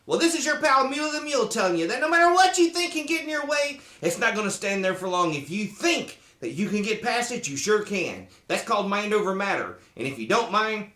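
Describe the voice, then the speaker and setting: A woman's voice: mid-range voice, big pitch swings, fairly quick, few pauses, fairly bright tone, roomy sound, voice pushed up. A woman voicing a friendly mule who loves books, talking to children in a folksy, encouraging way. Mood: encouraging, affectionate. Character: friendly, folksy male mule book lover. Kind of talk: cheerful sign-off to child viewers.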